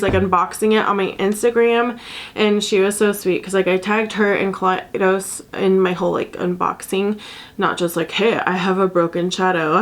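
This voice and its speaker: A woman's voice: medium pitch, a bit bright. Stuffy, chatty young woman vlogger. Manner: chatty and bubbly, with fillers and laughs. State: sick and stuffy. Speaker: beauty vlogger. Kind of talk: casual product review.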